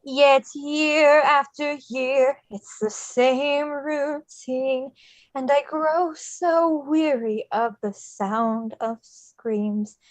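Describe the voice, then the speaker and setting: A woman acting a wistful, theatrical character in an animated film table read. The voice is very high and unhurried. The recording is dry and close. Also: no room sound. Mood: weary, longing. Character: wistful stitched-together rag doll young woman and weary skeleton king.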